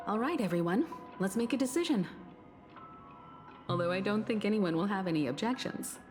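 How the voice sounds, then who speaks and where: A woman's voice: mid-range voice, expressive, normal speed, natural voice. A woman playing a composed, thoughtful team leader in a video game. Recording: game music under the voice.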